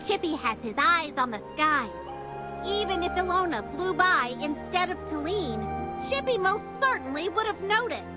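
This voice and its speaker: A woman's voice: very high-pitched, sing-song, normal speed, over loud music. A woman voicing a small, earnest creature sidekick in a scripted game story, speaking of themself in the third person.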